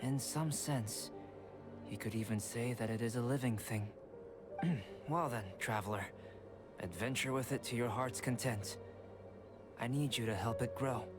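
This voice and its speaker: Guy doing a calm nerdy alchemist, precise and formal, even-keeled voice, music under it. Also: medium pitch, unhurried, pauses often, close to an everyday voice. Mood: explaining. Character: calm young male alchemist, measured and scholarly. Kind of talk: game cutscene voice acting. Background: game music.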